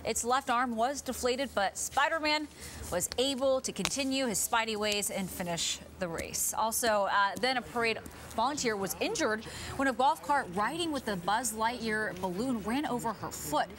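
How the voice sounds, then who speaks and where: A woman's voice: a little high, normal speed, background noise. A woman with an American accent presenting morning news as a television anchor.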